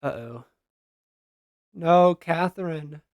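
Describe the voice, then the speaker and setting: A man's voice: on the high side, slow, darkish tone, no room sound. A man giving casual, slangy reaction commentary as a gaming content creator, responding aloud to what he reads.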